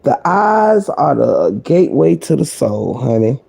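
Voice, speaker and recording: Woman live-streamer, deep and croaky, very dark tone, blunt sassy commentary delivered quietly. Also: sing-song, a little slow. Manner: sassy and blunt, heavy cursing; talking low to keep quiet.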